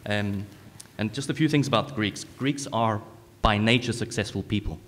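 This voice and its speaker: Man from South Africa, audience speaker at a conference. Lowish voice, reverberant hall. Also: moderate pace, fairly bright tone. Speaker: co-working space founder speaking from the audience. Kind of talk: informal remarks at a conference. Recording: echoey hall with long reverb.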